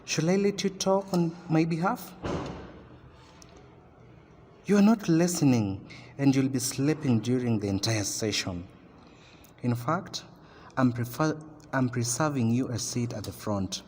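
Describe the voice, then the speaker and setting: An actor with a Kenyan accent playing a stern class teacher who lectures and scolds in a halting, emphatic way in an amateur radio drama. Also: male voice, mid-range voice, a little mellow, lightly acted. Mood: scolding. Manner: admonishing, emphatic, halting delivery. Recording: noisy, very reverberant room.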